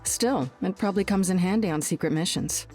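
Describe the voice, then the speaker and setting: A woman plays a self-assured female spy for a video game. Her voice is dark and on the low side, dry and cool in delivery, with faint music behind. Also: fairly quick, natural voice. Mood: cool, wry. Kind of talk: video game character dialogue.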